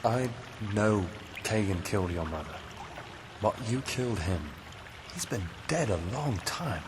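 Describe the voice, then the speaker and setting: A sober male sidekick from a video game. He talks in a lowish, measured voice. Noise from the game audio sits underneath. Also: expressive.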